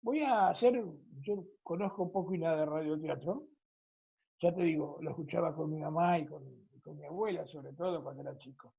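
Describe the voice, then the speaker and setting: A man's voice: a little high, a little fast, vocal fry, breathy edge. An Argentine man hosting an interview, asking questions in a conversational way. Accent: Argentine Spanish. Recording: thin phone-like line.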